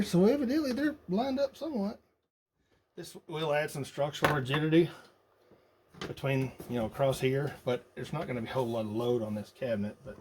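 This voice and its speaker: A man's voice: fairly high pitch, a little mellow. Man muttering through a noisy workshop build. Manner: muttering, thinking aloud while working. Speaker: hobbyist radio builder. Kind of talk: casual how-to workshop narration. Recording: noisy workshop recording with clicks.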